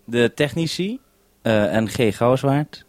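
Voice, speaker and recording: A man's voice: mid-range voice, animated, slow pace, darkish tone, a little hiss or hum. A man from the Netherlands hosting a two-way radio conversation, handing back with "over" as he goes. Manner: says "over" to hand back. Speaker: radio host.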